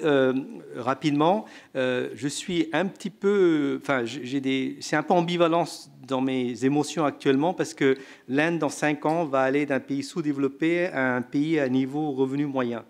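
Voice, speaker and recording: A man on a conference panel answering an audience question, hesitant and full of fillers and restarts. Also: mid-range voice.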